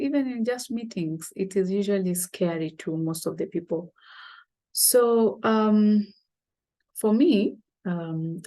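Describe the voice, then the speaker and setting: Ugandan woman host, young adult, lowish mellow voice, unscripted with fillers. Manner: unscripted, many fillers and pauses, quotes her own past thoughts. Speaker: channel host. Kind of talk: casual talk to camera.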